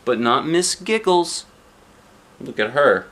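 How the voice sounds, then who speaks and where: A man reading a children's book aloud like a dad, with expressive narration aimed at young listeners. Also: fairly high pitch, takes it slowly. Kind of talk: animated children's storybook narration.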